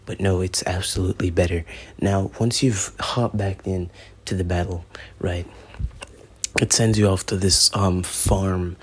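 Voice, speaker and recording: Low, husky teen gaming YouTuber. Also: male voice, fairly even intonation, measured pace. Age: teens. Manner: rambling, profane, joking. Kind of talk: gameplay review commentary.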